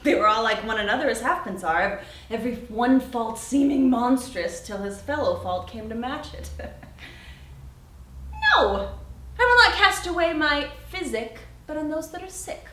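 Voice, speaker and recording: A young woman performing a witty, spirited Shakespearean heroine in a theatrical, animated and emphatic monologue. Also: a little high, moderate pace, voice pushed up. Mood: playful, teasing. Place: friend's warehouse. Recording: echoey warehouse, distant mic.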